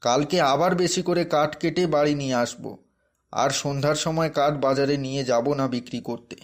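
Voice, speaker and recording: A man's voice: on the high side, normal speed, lightly acted. A man playing a poor, hardworking village woodcutter and weary husband in a fairy tale cartoon, speaking earnestly. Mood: explaining.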